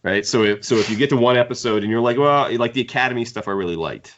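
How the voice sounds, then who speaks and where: A man hosting a podcast, casually discussing books in a halting, opinionated fan's way. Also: medium pitch, a bit bright. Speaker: podcast host. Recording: dull lo-fi podcast audio.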